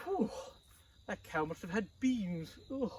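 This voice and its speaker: Man putting on a high, husky, fussy storytime host. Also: very animated, slow pace, a little mellow, far from the mic, full character voice. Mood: fussy, exclaiming. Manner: theatrical, exclaiming, sing-song address to children. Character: fussy theatrical host of a children's storytime programme. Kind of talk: hammy comedic children's read-aloud.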